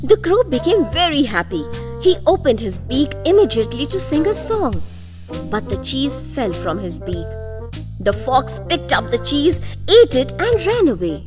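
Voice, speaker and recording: Female children's story narrator, higher register, expressive scripted read over clear music. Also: measured pace.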